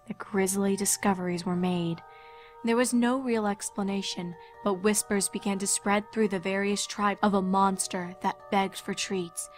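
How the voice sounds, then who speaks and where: A woman narrating a scripted dark fairy tale in a measured, ominous storytelling manner. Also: medium pitch.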